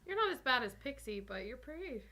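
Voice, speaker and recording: Female game player, high bright voice, casual back-and-forth banter. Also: sing-song, brisk.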